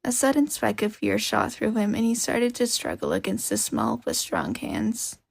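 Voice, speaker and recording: A woman reading scripted fiction as an expressive audiobook-style narrator. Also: medium pitch, moderate pace, gravelly, no echo. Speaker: podfic narrator.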